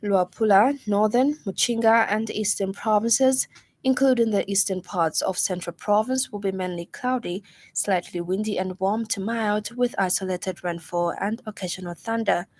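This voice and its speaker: A woman's voice: mid-range voice, normal speed, darkish tone. A woman news reader with a Zambian accent delivers a scripted radio bulletin. She speaks into a studio microphone in a formal bulletin style. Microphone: studio broadcast microphone.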